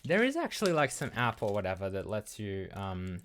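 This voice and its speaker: A man's voice: lowish voice, huge pitch swings, brisk, fairly bright tone, a little hiss or hum. An Australian man chatting casually as a livestream host, rambling loosely and thinking aloud. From Melbourne, Australia.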